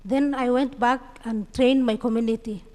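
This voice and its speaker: A woman with a Kenyan accent giving an unscripted panel account, halting and repeating words as she goes. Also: a little high, fairly even intonation, dark tone. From Mandera, Kenya. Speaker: panelist, community digital trainer. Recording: echoey hall with long reverb.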